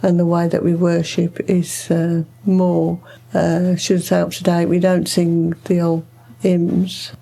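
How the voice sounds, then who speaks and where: Low, creaky-voiced British woman, evenly pitched. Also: warm tone. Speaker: food bank co-founder, interviewee. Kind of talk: conversational interview answers.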